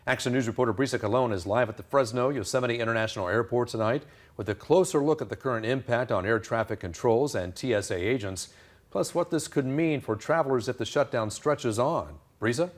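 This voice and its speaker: A man's voice: medium pitch, brisk. An American man reading scripted news as an anchor.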